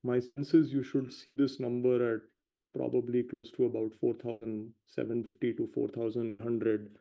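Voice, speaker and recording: A male company executive giving measured, explanatory answers on an earnings call, hedging and pausing between short phrases. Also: medium pitch, completely flat delivery, creaky. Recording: dull lo-fi conference call audio.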